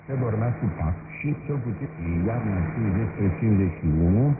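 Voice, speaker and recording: A man's voice: lowish voice, quick pace. A man from Romania gives spoken testimony as a former prisoner, heard over shortwave radio. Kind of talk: spoken recollection in an interview. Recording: noisy narrow-band shortwave radio broadcast.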